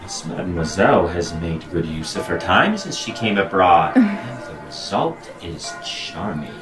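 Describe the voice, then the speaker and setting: A charming, idle young gentleman of a period audio drama. His pitch moves widely as he teases with courtly, formal manners. The sound is echoey, with music behind him. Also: very animated, unhurried. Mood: languid, admiring. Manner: courtly, teasing, formal phrasing.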